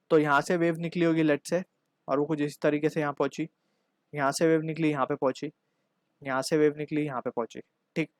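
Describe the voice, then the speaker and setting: A man's voice: on the high side, no echo. A man with an Indian accent teaching physics, explaining a problem step by step and often adding short check-in tags. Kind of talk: step-by-step problem-solving lesson.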